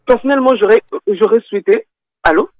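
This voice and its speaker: A woman guest on a radio talk show, speaking haltingly with repeated words, then arguing her point firmly. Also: a little high, dry recording, lo-fi sound.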